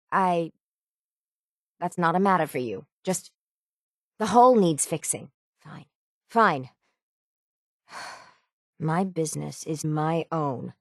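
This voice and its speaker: A gruff, low-voiced sailor woman from a video game. She talks in plain, folksy islander phrases with a gravelly edge, resigned to her fate yet stubborn. The voice is close and dry. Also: dry recording, exaggerated voice. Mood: guarded, firm. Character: weary, stoic, gruff dying island sailor woman guarding the town's hull. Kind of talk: dramatic video game dialogue.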